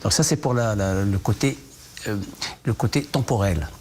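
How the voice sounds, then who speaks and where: A man from Morocco talks as a guest on a television news program, his voice sitting low. He speaks hesitantly, full of fillers and restarts, with a faint studio hum in the background. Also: moderate pace, darkish tone. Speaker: novelist guest. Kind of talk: TV news interview.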